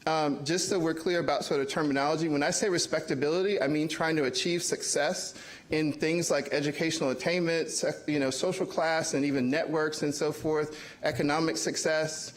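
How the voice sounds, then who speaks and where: A man speaking as an academic panelist, measured and hedging with "sort of" and "you know". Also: a little high, fairly even intonation, rough recording. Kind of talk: panel talk.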